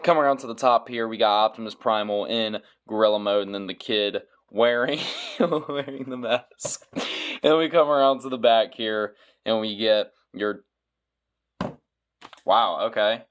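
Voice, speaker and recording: A man's voice: fairly low pitch, a little slow, a bit bright, smooth. A man doing casual product review commentary as a toy reviewer, with dry, joking asides.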